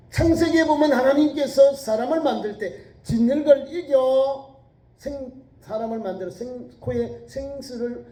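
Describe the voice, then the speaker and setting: A middle-aged pastor with a regional Korean accent preaching a sermon, asking the congregation rhetorical questions and rising to near-shouts when quoting scripture. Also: male voice, very high-pitched. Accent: Korean regional dialect. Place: church sanctuary. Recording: echoey church sanctuary.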